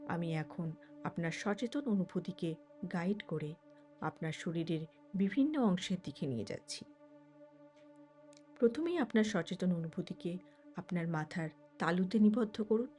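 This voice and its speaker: Female meditation guide. Lowish, very mellow tone, measured instructional phrasing over background music. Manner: measured, with pauses between short phrases. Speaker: certified meditation instructor and mind trainer.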